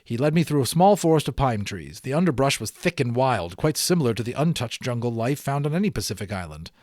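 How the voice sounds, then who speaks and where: A man narrating a storybook aloud in an expressive, explanatory way, as an audiobook reader. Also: mid-range voice, quick pace, dry recording, studio quality.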